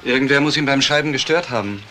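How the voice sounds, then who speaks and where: Man playing a brisk junior detective. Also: medium pitch, bright, forceful tone, slight background noise, close to an everyday voice. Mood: polite, brisk. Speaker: actor. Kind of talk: crime drama dialogue.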